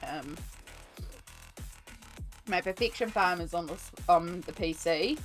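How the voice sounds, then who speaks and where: An Australian woman with a cold, her voice lowered and coughing, chatting casually and rambling with many ums while she colours on a stream. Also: measured pace. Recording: faint music behind.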